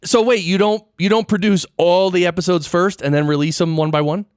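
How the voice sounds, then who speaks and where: A man's voice: sits high, fairly even intonation, a little fast, a little mellow, clear, resonant tone, no room sound. Man hosting a casual podcast, dry sound. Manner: informal, explanatory, checks understanding with questions. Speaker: podcast host interviewing a guest. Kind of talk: casual interview chat about audio gear and production.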